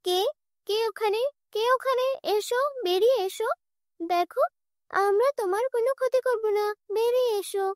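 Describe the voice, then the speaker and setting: Woman voicing a high-pitched, commanding, kindly fairy. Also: normal speed, no echo, studio quality. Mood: questioning, gentle authority. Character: graceful female fairy, commanding yet kindly. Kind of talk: children's cartoon dialogue.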